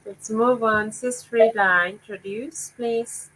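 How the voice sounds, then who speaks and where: A woman's voice: on the high side, fairly even intonation, slow pace, fairly bright tone, slightly rough. A woman from Papua New Guinea hosting a live online chat over a video call, with hesitant fillers. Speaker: host. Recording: noisy video call audio.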